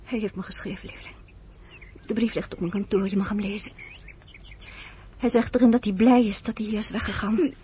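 A woman's voice: mid-range voice, steady tone, a little fast, pauses often, dark tone, close to an everyday voice. An actress playing an earnest woman who speaks with tender, pleading persuasion in a radio drama. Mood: grave, gentle. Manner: pleading, affectionate, emphatic. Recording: noisy old radio play recording.

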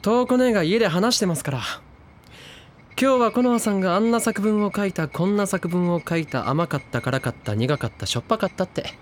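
Chill cocky teen boy, slangy, lively up and down voice. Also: sits high, brisk, a little hiss or hum. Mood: easygoing. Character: laid-back teen boy, cocky and friendly. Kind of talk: drama CD acting.

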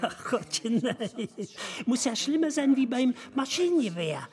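An actress playing a saucy, amused party guest who exclaims in mock naivety, in radio play dialogue. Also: female voice, on the high side, big pitch swings, measured pace, darkish tone. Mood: amused, teasing.

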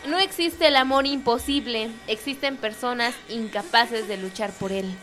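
Una mujer con acento mexicano lee frases en la radio con solemnidad fingida. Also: female voice, fairly high pitch, moderate pace. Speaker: radio co-host. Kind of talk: reading quotes on air. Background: music.

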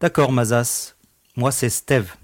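An actor playing a cheeky, grudging young smuggler pilot in a French space audio drama, with a casual, reluctant manner. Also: male voice, medium pitch, slow pace, no echo, studio quality, close to an everyday voice. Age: young adult.

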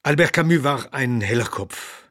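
A man's voice: mid-range voice, animated, moderate pace, a bit bright, dry recording, studio quality. A man playing a dignified old doctor-philosopher. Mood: earnest. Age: older. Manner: earnest, lecturing, quotes his own writings. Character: elderly male doctor-philosopher, dignified, indignant when challenged. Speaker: interviewee. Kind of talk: radio play acting.

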